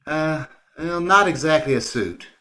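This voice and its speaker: A man's voice: a little high, animated, a little slow, sharp tone. A man playing a bashful, awkward teacher with hesitant, stammering delivery in old-time radio comedy style over a video call. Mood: flustered, hesitant.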